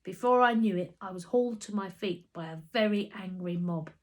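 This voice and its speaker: A woman reading a children's story aloud as an expressive narrator. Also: medium pitch, normal speed.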